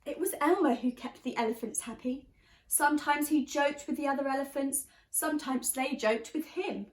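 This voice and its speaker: A woman reading a children's story aloud like a teacher, expressively and deliberately, stressing key words. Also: sits high. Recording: roomy, echoey sound.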